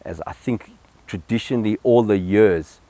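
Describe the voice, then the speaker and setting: A man's voice: lowish voice, normal speed, warm tone, no room sound. A middle-aged man, telling his family history in an interview at home, with many ums and restarts. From South Africa. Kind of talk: relaxed personal reminiscence.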